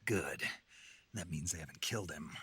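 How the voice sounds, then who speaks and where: An actor playing a gruff, terse soldier on a covert mission in a video game, with a gravelly voice. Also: male voice, rumbling low, lively intonation, moderate pace, a bit bright, no room sound, heavily acted. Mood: terse, guarded. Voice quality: gravelly, husky. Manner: terse, dry. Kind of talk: video game radio-call dialogue acting.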